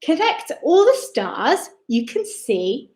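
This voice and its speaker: A woman with a high-pitched voice reads a children's picture book aloud in an echoey room. Her delivery is theatrical and very lively, with wide swings in tone and an unhurried pace. She speaks straight to her young listeners, exclaiming as she goes. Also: slight background noise. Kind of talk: expressive rhyming read-aloud for young children. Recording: roomy, echoing indoor sound.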